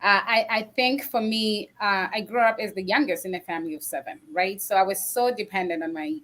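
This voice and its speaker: A woman's voice: medium pitch, fairly quick, fairly bright tone. A woman podcast guest who immigrated to Canada, reflecting on her own life in conversation. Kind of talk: reflective personal storytelling.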